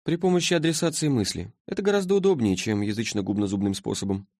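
Man voicing a languid high-pitched woman from the future. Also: fast talker, a little mellow, no echo, clean studio sound. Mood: listless. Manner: listless drawl, clinical, detached. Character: languid, sleepy-voiced female being from a far future.

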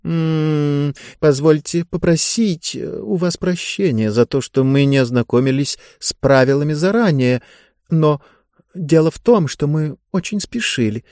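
A man's voice: fairly high pitch, a little slow, darkish tone, dry recording, studio quality, voice pushed up. A man voicing a polite, earnest schoolgirl in an audiobook, speaking carefully and with some hesitation. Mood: anxious pleading. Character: polite, earnest, quick-witted schoolgirl, pleading and bargaining. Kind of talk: audiobook character voice.

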